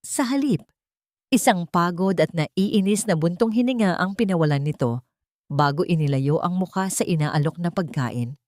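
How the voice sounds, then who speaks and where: A woman audiobook narrator with a distinctly low voice. She reads a scripted, dramatic story. The recording is dry, with hardly any room sound. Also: moderate pace, a little mellow, dry recording.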